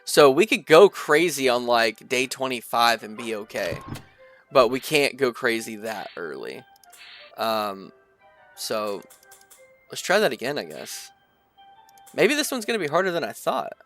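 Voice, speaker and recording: A young man with a stuffy nose chatting casually through a gameplay session, reading the game's text aloud and thinking out loud with lots of fillers. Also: mid-range voice, big pitch swings, unhurried, pauses often, faint music behind. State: stuffy from allergies. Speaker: gaming video creator. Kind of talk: casual let's-play commentary, reading game text aloud.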